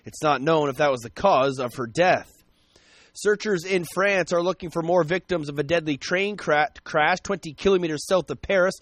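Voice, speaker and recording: Crisp male radio newsreader. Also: on the high side, no echo. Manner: brisk, even newscaster delivery. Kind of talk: scripted news reading. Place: radio studio.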